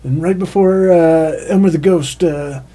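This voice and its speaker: A man in his thirties giving a rambling, relaxed behind-the-scenes commentary with quaintly formal turns of phrase, full of ums and uhs. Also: on the high side, measured pace. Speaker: puppeteer and co-host of a commentary track. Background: faint background music.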